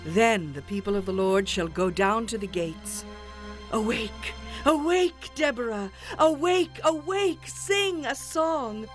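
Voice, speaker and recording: Commanding female prophet, solemn, exultant, measured slow delivery, biblical audio drama, clear music behind. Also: on the high side, expressive. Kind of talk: biblical radio-theatre dramatization, declamatory verse.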